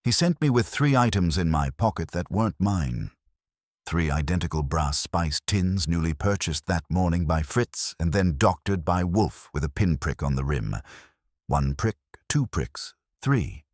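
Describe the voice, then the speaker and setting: A man narrating a scripted first-person detective story in a dry, wry, measured way. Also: low-pitched, expressive, dry recording. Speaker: audiobook narrator.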